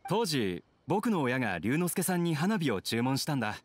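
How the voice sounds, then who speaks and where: Game casting: young fugitive, apologetic and earnest, mid-range voice with lots of inflection. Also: male voice, medium pitch, sing-song, normal speed, breathy edge. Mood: wistful. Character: troubled, polite young man on the run. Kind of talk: video game voice acting. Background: background music.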